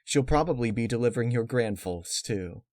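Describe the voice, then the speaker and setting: A man's voice: medium pitch, animated, normal speed, darkish tone, no room sound, close to an everyday voice. Guy doing a bookish girl pony, earnest and reassuring, story reading, really dry close sound.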